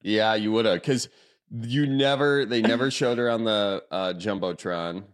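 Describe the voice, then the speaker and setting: Low-ish voice guy hosting a podcast, jokey and chatty, lots of uh and folks. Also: unhurried. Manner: joking, chatty, fills with uh and folks. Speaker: podcast host. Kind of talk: casual chat and interview.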